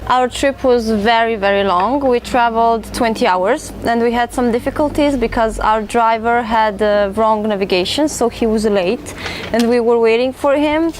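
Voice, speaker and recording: A woman's voice: fairly high pitch, normal speed. A woman from the Balkans telling a travel story as a group leader in a documentary interview. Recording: faint background noise.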